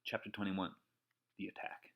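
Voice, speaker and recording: A man's voice: moderate pace, narrow, tinny audio. A man reading an adventure novel aloud as dramatic narration, an amateur audiobook reader.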